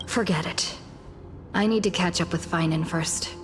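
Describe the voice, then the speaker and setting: A woman's voice: fairly low pitch, moderate pace, natural voice. A woman acting a pensive, questioning young adventurer in a video game, musing half to herself. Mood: puzzled, pensive. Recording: long reverb, music behind.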